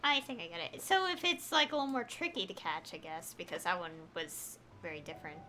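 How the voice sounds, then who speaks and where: A woman's voice: on the low side, animated, brisk. A woman giving casual, rambling livestream gameplay commentary, thinking aloud as she plays. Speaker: game streamer. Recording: game music behind the voice. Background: video game music.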